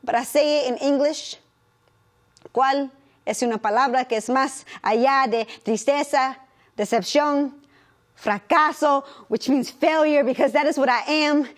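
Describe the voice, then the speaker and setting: A woman's voice: high-pitched, fairly even intonation, unhurried. A young woman performing a spoken word poem with rhythmic delivery, switching between English and Spanish. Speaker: performance poet.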